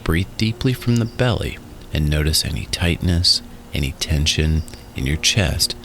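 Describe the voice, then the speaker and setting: A man narrating a guided relaxation in a soothing, instructional way, in short phrases with pauses. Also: rumbling low, lively intonation, a bit bright, slight background noise. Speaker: guided meditation host. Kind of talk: guided meditation and hypnosis narration. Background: music.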